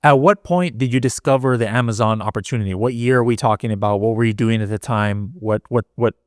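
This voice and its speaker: A man's voice: mid-range voice, fairly quick, darkish tone, no echo, clean studio sound. A man hosting a podcast, reading an upbeat promotional intro and then asking interview questions. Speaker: podcast host.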